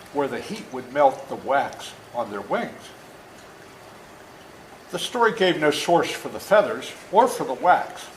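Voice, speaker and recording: A man in his seventies talking and reading aloud to children as an author, plain-spoken and deliberate, often checking in with questions. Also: on the high side. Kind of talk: storytelling talk and read-aloud to children. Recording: echoey room with faint noise.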